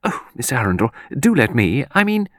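A man's voice: medium pitch, very animated, moderate pace, fairly bright tone, no echo, clean studio sound. Man voicing a meek, flustered lady's companion. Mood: timid, sighing. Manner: hesitant, apologetic, murmuring. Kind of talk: audiobook character voice.

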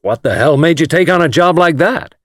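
A man voicing a gruff, hearty male adventurer who teases his friend bluntly in an audiobook story. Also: a little high, expressive, a little fast, fairly bright tone, dry recording, clean studio sound, pitched above the performer's own voice. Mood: blunt, teasing. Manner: blunt, jocular.

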